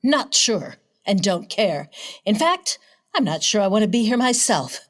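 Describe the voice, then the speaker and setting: An actress playing a cynical, classy newspaper reporter in a noir radio play, with dry, biting retorts. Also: female voice, medium pitch, big pitch swings, unhurried. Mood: brusque, uneasy. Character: sharp-tongued, cynical high-society woman newspaper reporter.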